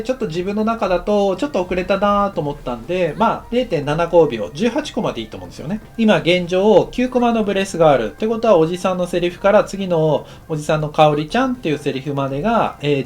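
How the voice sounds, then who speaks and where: A man giving a casual, explanatory tutorial as an anime director, thinking aloud in short halting phrases. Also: a little high, a little mellow. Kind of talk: instructional lesson narration, casual and explanatory.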